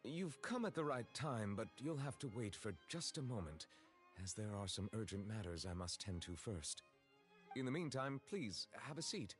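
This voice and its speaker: A dignified high official acted by a man in a video game. He speaks in a low, expressive voice with formal courtesy and measured phrasing. Faint music sits behind him. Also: natural voice. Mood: polite, composed.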